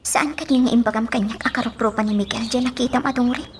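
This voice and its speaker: A woman's voice: a little high, quick pace, a little hiss or hum, close to an everyday voice. A woman with an Ilocano accent acting a troubled, sentimental young lady in a radio drama.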